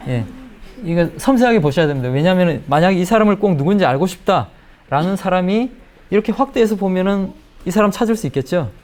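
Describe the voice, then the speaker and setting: A middle-aged man giving a spoken software tutorial as an editing instructor, explaining step by step and checking in with questions. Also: high voice, lively intonation, quick pace.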